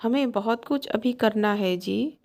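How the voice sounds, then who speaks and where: A woman voicing a kind, hopeful young village mother in a cartoon story, speaking gently. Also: fairly high pitch, measured pace. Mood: earnest, hopeful. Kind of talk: cartoon bedtime story acting.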